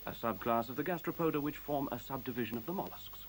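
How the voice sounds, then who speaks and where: A man's voice: mid-range voice, fast, lightly acted. A man acting in a radio play as an urbane, mischievous intelligent computer, speaking formally and articulately in a teasing way. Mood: composed, assured. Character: witty, urbane male talking intelligent computer. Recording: faint hiss on radio play recording.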